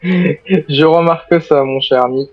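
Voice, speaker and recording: Guy doing polite survivor rp in game chat, slightly high voice, formal n hesitant, dull mic. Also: unhurried, dry recording. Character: courteous survivor of a zombie island. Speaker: video game role-player. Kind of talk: improvised in-character game chat.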